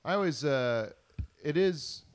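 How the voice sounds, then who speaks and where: A man's voice: on the high side, lively intonation, slow. A man in a casual group chat, rambling with restarts and self-deprecating jokes.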